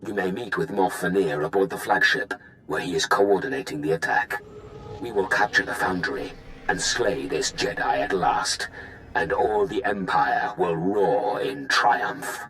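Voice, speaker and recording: An actor playing a grave, commanding Sith warlord in a video game, with a solemn, measured, imperious delivery. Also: male voice, low-pitched, lively intonation, a bit bright, slight background noise, poor audio. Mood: triumphant resolve. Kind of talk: dramatic video game acting.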